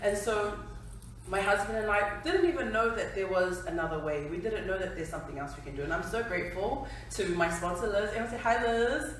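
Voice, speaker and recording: A woman's voice: mid-range voice, fairly quick, slight background noise. A woman in her twenties from New Zealand telling her personal story to an audience at an event, chatty and laughing at herself. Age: 20s. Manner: chatty, laughing, self-deprecating. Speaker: speaker at a company event. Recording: echoey hall from far off.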